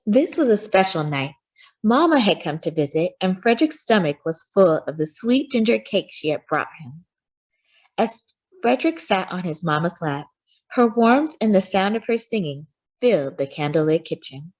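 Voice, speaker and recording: Expressive woman reading a children's story. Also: mid-range voice, normal speed. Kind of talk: scripted storybook narration.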